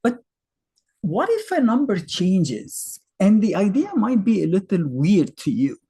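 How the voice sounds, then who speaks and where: A man in his fifties with an Egyptian accent, native Arabic, explains math in a relaxed, chatty way with lots of rhetorical questions. His voice is husky and mellow, and his pitch rises and falls a lot as he talks. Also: a little slow. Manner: conversational, thinks aloud with fillers and rhetorical questions. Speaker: math teacher. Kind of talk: casual explanatory lesson.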